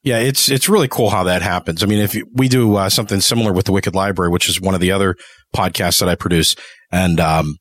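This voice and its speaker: A man's voice: low voice, steady tone, fast talker, fairly bright tone, dry recording. A man hosting a podcast interview in a conversational, supportive and agreeable way. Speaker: podcast host and producer.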